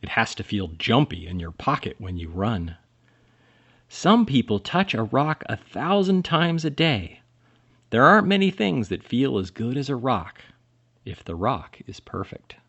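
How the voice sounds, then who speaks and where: Male storybook reader, very animated intonation, expressive emphasis for young listeners, lo-fi muffled sound. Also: medium pitch, breathy edge. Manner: dramatic pauses and emphasis for children. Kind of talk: expressive children's picture-book read-aloud.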